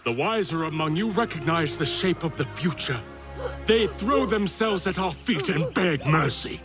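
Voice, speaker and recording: An actor playing a menacing, arrogant colonial villain who talks down to his victim in a slow, deliberate, condescending way in a video game. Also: on the high side, expressive, music in the background, lightly acted. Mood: contemptuous, lofty. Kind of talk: dramatic video game cutscene acting.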